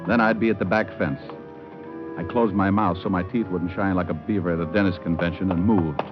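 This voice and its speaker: An actor playing a cool, wisecracking private detective, narrating with dry wit in hardboiled old-time radio style. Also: male voice, deep voice, fairly even intonation, a little fast, lightly acted. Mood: wry, cool. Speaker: lead and narrator. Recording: dull old radio recording. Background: music.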